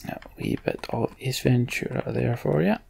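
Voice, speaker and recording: A man hosting a let's play, muttering casual commentary as he thinks aloud, with mild swearing and a gravelly voice. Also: medium pitch, normal speed, a little mellow, slight background noise. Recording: game music behind voice. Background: game music.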